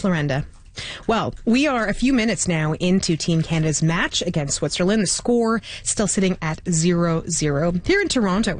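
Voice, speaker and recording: Live news anchor, female, lively delivery, easy laughter. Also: lowish voice. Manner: warm, lively interviewer, laughs easily.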